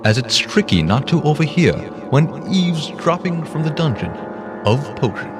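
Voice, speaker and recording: A man's voice: a little high, big pitch swings, normal speed. A man playing the narrator of a whimsical audio drama, in a storytelling manner. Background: music.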